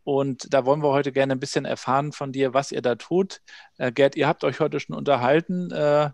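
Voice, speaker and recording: A man's voice: medium pitch, fairly even intonation, moderate pace, vocal fry, darkish tone, no room sound. Middle-aged male host on headset mic. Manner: inviting questions, many filler sounds. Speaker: host, moderator. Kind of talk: moderating a live online meetup. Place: home room, indoors.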